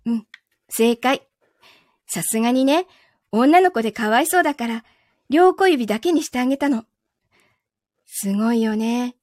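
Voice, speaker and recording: A woman playing a possessive lover in a voice drama, speaking gently and calmly with quiet menace. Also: a little high, dry recording, studio quality, lightly acted. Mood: gently menacing. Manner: soft, gentle, unhurried delivery without shouting. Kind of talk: Japanese voice drama acting.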